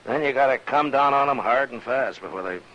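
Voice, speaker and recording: An actor playing a firm, weary US Marshal in an old-time radio western, plain-spoken and measured. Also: male voice, medium pitch, fairly bright tone, lo-fi sound, lightly acted. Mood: calm, weary. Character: firm, weary frontier US Marshal, steady and unflinching. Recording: hissy old radio recording.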